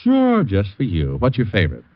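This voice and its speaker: Wisecracking smooth private eye. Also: male voice, lowish voice, wildly swooping pitch, normal speed. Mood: breezy, teasing. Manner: wry, breezy, teasing. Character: wisecracking, flirtatious private detective, smooth and cool. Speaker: lead actor in a radio detective series. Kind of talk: old-time radio drama acting. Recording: dull vintage radio recording.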